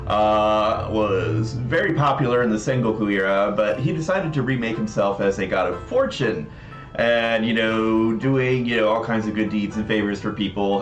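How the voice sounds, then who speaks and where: A man presenting a casual livestream demo, explaining things in a rambling way with frequent asides. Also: on the low side. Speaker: presenter demonstrating a visual novel project. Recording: roomy echo, faint music behind. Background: faint music.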